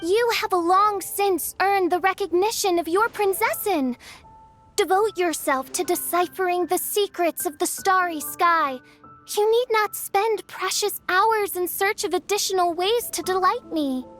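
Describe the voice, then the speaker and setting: A woman's voice: very high-pitched, measured pace, a bit bright, soft background music. A woman voicing a theatrical teenage self-styled princess in a video game, declaiming in grandiose, archaic phrases. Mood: regal, grandiose. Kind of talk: animated video game acting.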